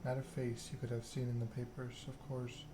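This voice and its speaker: Man voicing a proud, measured vampire schemer, noisy. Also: medium pitch, little pitch movement, lightly acted. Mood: brooding, fatalistic. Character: proud, scheming vampire aristocrat telling their story. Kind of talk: ASMR read-aloud of game dialogue.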